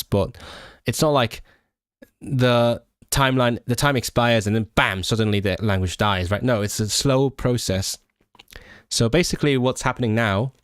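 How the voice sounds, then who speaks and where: A man's voice: medium pitch, no echo. Young hong kong guy on a language stream, mixed british accent, chatty and hesitant, lots of restarts, dry close mic. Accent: British English mix. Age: young adult. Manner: hesitant, many restarts and fillers, self-deprecating. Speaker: language channel host; language learner and student. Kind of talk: casual livestream chat reading viewer comments.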